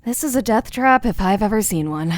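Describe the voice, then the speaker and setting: A woman's voice: mid-range voice, expressive, brisk, dry recording, natural voice. A woman playing a frightened young woman searching for her missing friend in an audio drama. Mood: wary, startled.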